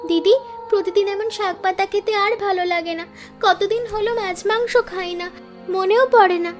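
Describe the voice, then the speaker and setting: Woman voicing a very high-pitched, eager younger sister. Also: steady tone, moderate pace, faint music behind. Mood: wistful. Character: eager, affectionate young younger sister, poor flower seller. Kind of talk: Bengali cartoon moral-story dialogue.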